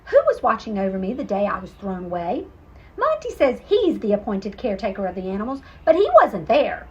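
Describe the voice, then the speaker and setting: A woman voicing a gentle, wondering young female cat in an earnest storybook reading. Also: medium pitch, big pitch swings, a little fast, highs cut off.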